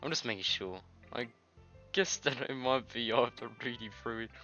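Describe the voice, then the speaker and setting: A man's voice: medium pitch, normal speed, pushed and bright, lo-fi sound. A man reading visual novel game dialogue aloud as a let's-play host, in a loose, stumbling way. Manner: loose, stumbling read-aloud with misread words. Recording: music behind the voice. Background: game music.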